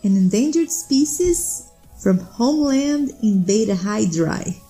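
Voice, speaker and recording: A man's voice: high-pitched, expressive, slow, warm tone, faint music behind, pitched above the performer's own voice, exaggerated voice, poor audio. A man voicing a sly, businesslike smuggler woman in a sci-fi story reading, breezy and knowing. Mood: matter-of-fact.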